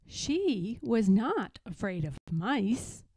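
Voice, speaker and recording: A woman reading a children's picture book aloud in a deliberate, expressive storytelling manner. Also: medium pitch, slightly rough, dry recording. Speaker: storybook reader.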